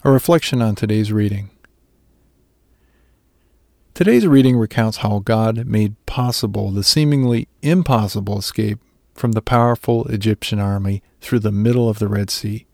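Male devotional narrator, gravelly voice, very dry close sound, scripted delivery. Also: fairly low pitch, moderate pace, darkish tone, no room sound. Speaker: devotional speaker.

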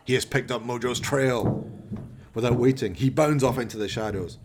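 Gravelly male radio play narrator. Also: mid-range voice, normal speed, a little mellow, a little hiss or hum. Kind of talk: dramatic scripted storytelling, present tense.

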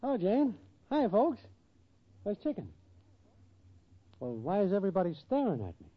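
Breezy, slangy schemer with animated delivery. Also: male voice, high-pitched, moderate pace, a little hiss or hum. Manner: slangy, glib. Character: shiftless streetwise schemer boyfriend, breezy and evasive. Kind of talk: 1940s radio sitcom acting. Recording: dull vintage 1940s radio recording.

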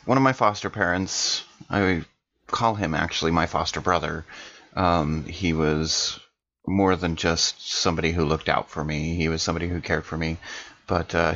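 Male tabletop player, low register, measured casual roleplay, dull lo-fi sound. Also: fairly bright tone.

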